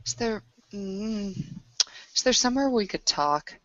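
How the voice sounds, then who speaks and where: A woman's voice: mid-range voice, lively intonation, measured pace, fairly bright tone, no room sound, dull audio. A woman improvising tabletop roleplay conversation in a hesitant, questioning manner.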